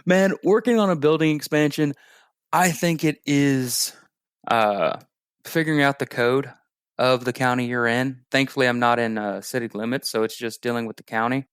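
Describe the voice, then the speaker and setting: Smooth-voiced man chatting casually. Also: medium pitch, moderate pace, dry recording, studio quality. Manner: relaxed storytelling with frequent fillers. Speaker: podcast guest. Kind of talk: casual conversation.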